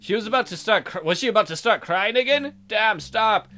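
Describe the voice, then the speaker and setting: Bright-voiced man narrating a visual novel. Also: high-pitched, expressive, brisk, soft background music. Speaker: let's play host. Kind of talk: reading a visual novel aloud with dramatic narration and joking asides.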